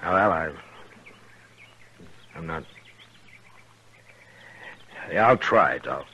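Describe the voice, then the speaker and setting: An American actor playing a sober, plain-spoken Old West marshal in a 1950s radio western, with a measured, understated delivery. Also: male voice, rumbling low, animated, sharp tone, muffled recording, a little hiss or hum, heavily acted. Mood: solemn, hesitant. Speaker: lead actor and narrator. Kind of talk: 1950s radio western acting, narration and dialogue. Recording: vintage 1950s radio recording.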